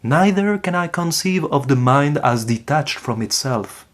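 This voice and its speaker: A man performs a French avant-garde poet reciting his manifesto. The delivery is solemn and uncompromising, very slow and deliberate, with a French accent and a declamatory literary tone. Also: on the high side, lively intonation, voice pushed up.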